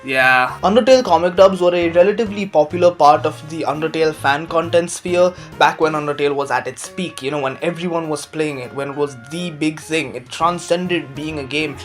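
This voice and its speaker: A man's voice: a little high, fairly quick, hardly any pauses. A young adult man hosting a casual, comedic commentary rant, joking crudely with sarcasm and exaggeration. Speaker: YouTube commentary host. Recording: music behind the voice. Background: background music.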